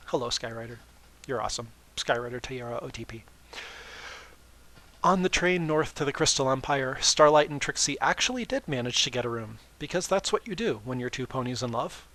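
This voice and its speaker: A man's voice: medium pitch, bright, forceful tone, poor audio. A man reading fan fiction as dramatic narration, with wry, informal asides.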